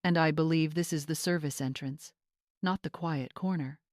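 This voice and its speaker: A dignified older mother from an audiobook drama, played by a woman narrator. She speaks in a measured, restrained way with quiet authority, in a slightly low register and a dry, close recording. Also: no echo, natural voice. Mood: calm, firm.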